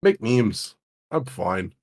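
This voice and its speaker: A young man with a deep voice, interviewing in a laid-back, casual online chat and laughing often. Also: very animated, takes it slowly, darkish tone. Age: young adult.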